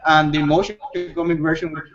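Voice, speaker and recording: A man's voice: on the high side, level tone, brisk, fairly bright tone, muffled recording. A man chatting casually as a guest creator, easygoing and a little hesitant. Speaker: manga creator, panel guest. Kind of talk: casual chat.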